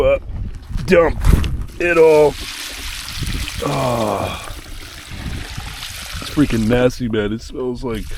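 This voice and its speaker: A young man vlogging outdoors at a dump site, disgusted and exasperated, cursing casually while his voice goes hoarse. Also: mid-range voice, background noise. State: voice going hoarse. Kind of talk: casual vlog commentary. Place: outdoors at a remote dumping spot.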